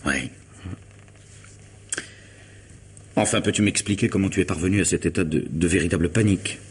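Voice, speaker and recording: An actor as a deep, authoritative, level-headed friend. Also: male voice, a little hiss or hum, close to an everyday voice. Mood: concerned. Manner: measured, commanding, articulate. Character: authoritative, rational lawyer friend, reassuring yet firm. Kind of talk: French radio mystery drama acting.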